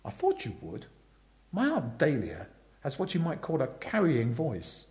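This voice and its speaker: A man voicing an amiable, chatty young upper-class gentleman in a storybook reading, earnest and rambling. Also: mid-range voice, huge pitch swings, measured pace.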